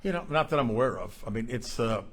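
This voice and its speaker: A man speaking as a police chief at a press briefing, answering questions in a halting, measured, plain-spoken way. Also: medium pitch, lively intonation, a bit bright, slight background noise.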